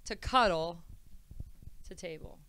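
A woman's voice: fairly low pitch, slow pace, a bit bright, slight background noise. A woman in her thirties bantering as a podcast guest, joking and teasing into a handheld mic. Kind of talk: casual comedic banter. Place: small podcast set, indoors. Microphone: handheld microphone.

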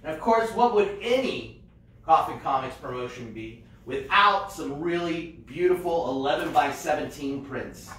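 A man's voice: on the high side, animated, measured pace, a bit bright, slight background noise. An American man hosting a live shopping show in a casual, jokey, enthusiastic way. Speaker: host of a comics shopping show. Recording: roomy echo, distant microphone.

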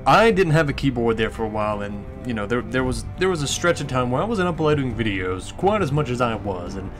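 A man's voice: mid-range voice, big pitch swings, brisk, over music. A man hosting a let's play with casual, rambling gameplay commentary and some swearing.

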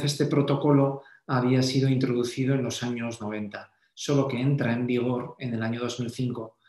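A man delivers an explanatory conference talk. His voice sits at a medium pitch, and he phrases things in a measured, didactic way with pauses. The sound is noticeably roomy and echoey. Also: a little mellow. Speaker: Jesuit speaker giving a conference talk. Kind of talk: slide presentation lecture, explanatory.

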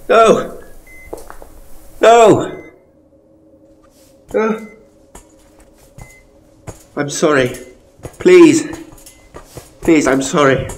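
A man's voice: high-pitched, slight background noise, voice pushed up, exaggerated voice. A man playing a terrified ghost tour guide in an audio horror story, stammering and breathless as he pleads.

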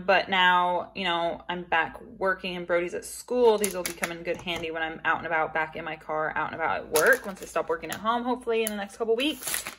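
A woman's voice: medium pitch, background noise. A woman in her thirties chatting casually through a grocery haul while handling items in her kitchen. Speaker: vlogger. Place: home kitchen.

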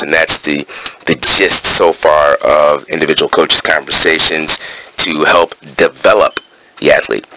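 A man's voice: rumbling low, sharp tone, slight background noise, poor audio. A man reading coaching points aloud in a wrestling gym, breaking off into casual summaries with fillers. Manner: alternates terse read-out points with casual asides full of fillers.

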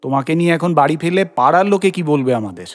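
Actor as exasperated, sputtering husband. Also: male voice, a little high, lively intonation, fast talker, lightly acted. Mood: resigned. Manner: sputtering, repeats words in indignant questions. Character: exasperated, incredulous husband, grumbling but soft-hearted. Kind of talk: comic audio drama acting.

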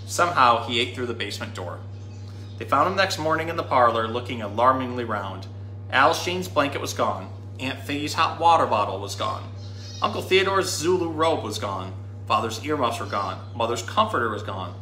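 Bright-voiced man reading a storybook. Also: mid-range voice, normal speed, faint music behind. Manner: animated, dramatic reading. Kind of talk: expressive children's storytime read-aloud. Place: indoor room. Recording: roomy indoor sound with faint noise.

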